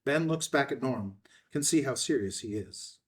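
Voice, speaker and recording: Male narrator for a script reading, medium pitch, a little away from the mic. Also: animated, normal speed, far from the mic. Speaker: stage-direction narrator. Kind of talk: scripted narration, screenplay table read.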